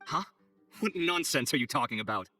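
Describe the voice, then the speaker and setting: A man's voice: mid-range voice, expressive, fairly quick, pushed and bright, faint music behind. A man playing a proud, hot-tempered young architect in a video game, speaking with theatrical, haughty exasperation. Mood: disbelieving.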